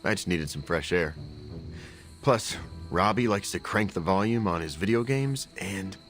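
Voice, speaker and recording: A man's voice: low-pitched, expressive, measured pace, fairly bright tone, soft background music. An actor playing a guarded male antihero bonded to a symbiote in a video game, talking in a casual, wry, self-deprecating way. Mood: casual, evasive. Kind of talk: conversational video game acting.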